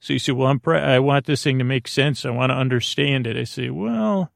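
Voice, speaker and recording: Male sleep-podcast host: gravelly, lulling, halting delivery that wanders, recorded close and dry. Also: medium pitch, no echo. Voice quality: creaky. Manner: meandering, halting, repeats and trails off; soothing, lulling. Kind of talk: rambling bedtime sleep podcast intro.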